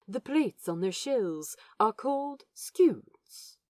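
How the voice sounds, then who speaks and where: Woman voicing a gentle, learned man, unhurried and lilting. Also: fairly high pitch, sing-song, dry recording, studio quality. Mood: warmly instructive. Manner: tender, reverent, gently explanatory. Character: gentle, learned man fond of sea animals. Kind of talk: fan fiction audio drama dialogue.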